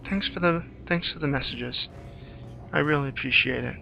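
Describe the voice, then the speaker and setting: A man's voice: fairly high pitch, normal speed, creaky, dull audio. A man giving casual, chatty playthrough commentary on a video game, joking back at it as he plays. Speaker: gamer, commentator. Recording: game music and sound behind voice. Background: video game music and effects.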